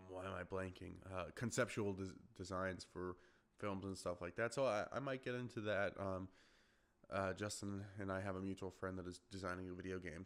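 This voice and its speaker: Young male podcast host, very low voice, loose rambling delivery full of ums and restarts. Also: fairly bright tone. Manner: rambling, many fillers and restarts. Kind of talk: casual solo podcast chat.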